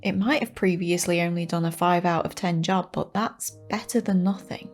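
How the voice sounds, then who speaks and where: A woman's voice: fairly low pitch, fairly even intonation, normal speed, soft background music. A woman reading a written statement in voice-over.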